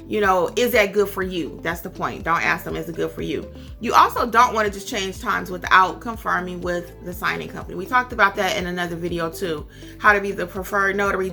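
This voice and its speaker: Woman, American accent, bright crisp-edged tone, emphatic instructor delivery, repeats key points, light background music. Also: mid-range voice. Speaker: instructor and host of tutorial videos for notary signing agents. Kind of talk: instructional talk with acted-out example phone calls.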